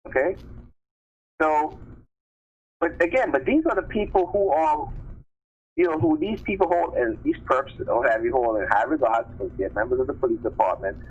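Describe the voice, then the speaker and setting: A man's voice: fairly high pitch, moderate pace, husky, highs cut off. A man giving informal, emphatic commentary on news articles as a live stream host, often checking for agreement with short tag questions.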